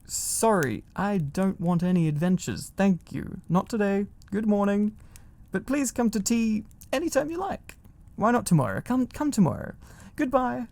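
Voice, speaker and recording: A man voicing a flustered, polite little male hobbit in a storybook, speaking in a stiff, nervous, hurried manner. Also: high-pitched, expressive, gravelly, a little mellow, voice pushed up. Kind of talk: storybook character voice.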